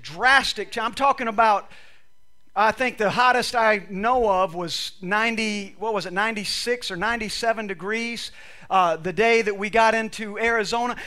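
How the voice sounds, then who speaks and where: A man's voice: extremely high pitch, sharp tone. A man preaching a sermon to a congregation, reading scripture with emphatic, repetitive phrasing while losing his voice. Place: church service with a congregation.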